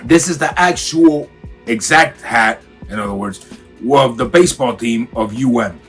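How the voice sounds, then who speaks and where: A raspy-voiced man with a cold, from Miami, hyping up a casual clothing unboxing for his viewers. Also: medium pitch, unhurried, a bit bright, soft background music. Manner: hyped, slangy, talks straight to viewers. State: has a cold. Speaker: sneaker and clothing vlogger. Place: home room.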